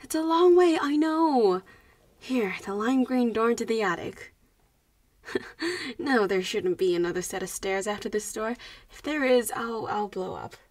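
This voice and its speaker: A woman playing a teasing, haughty yet protective young vampire schoolgirl in a roleplay audio drama, speaking with deliberate phrasing and sighs. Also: fairly high pitch, lively intonation, no echo. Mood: tired, complaining.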